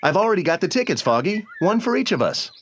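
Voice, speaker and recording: An actor playing a calm, self-assured young blind college man, mostly in inner monologue. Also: high-pitched, fast, highs cut off, slight background noise.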